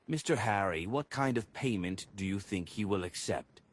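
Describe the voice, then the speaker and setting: A man's voice: fairly low pitch, animated, normal speed, pitched above the performer's own voice. A man voicing an anxious, stingy chubby apothecary who speaks hesitantly in an audiobook. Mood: uneasy, bargaining. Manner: hesitant, unsure.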